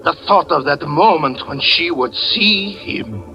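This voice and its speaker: An actor playing a grief-stricken magician who recounts a tragedy, speaking slowly and brooding, then crying out in anguish, in 1940s radio acting style. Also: male voice, fairly high pitch, animated, lo-fi sound, noisy background. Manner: slow, brooding, breaking into anguished cries.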